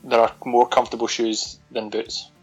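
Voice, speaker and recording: A man co-hosting a podcast, chatting in a loose, rambling way and laughing often. His voice sits at a middling pitch for a man. A faint music bed runs underneath. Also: completely flat delivery, moderate pace, husky, poor audio. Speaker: podcast co-host. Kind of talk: casual chat.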